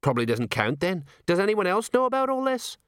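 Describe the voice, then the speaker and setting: A man voicing a fussing, slow-witted sergeant. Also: high-pitched, big pitch swings, clean tone, dry recording, studio quality, pitched above the performer's own voice. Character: plodding middle-aged male sergeant, slow and fussing.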